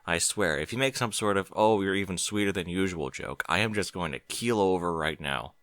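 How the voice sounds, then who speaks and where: A man's voice: low-pitched, a little fast, a bit bright, no room sound, studio quality. A man voicing a wisecracking young male dragon with a sarcastic, teasing manner.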